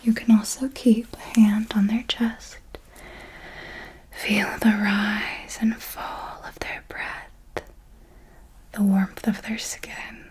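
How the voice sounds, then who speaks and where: A woman playing a possessive, commanding vampire mentor in a whispered ASMR roleplay, speaking in a breathy, deliberate, coaxing way. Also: mid-range voice, level tone, darkish tone, lightly acted. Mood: hushed, instructive. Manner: slow, deliberate, coaxing and instructive.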